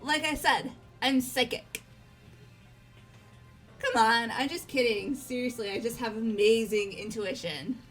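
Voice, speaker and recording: Woman voicing a sweet high-voiced teenage schoolgirl. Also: unhurried, a bit bright, faint music behind. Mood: playful. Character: friendly, sincere, gently teasing teenage schoolgirl. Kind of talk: fan voice acting of visual novel dialogue.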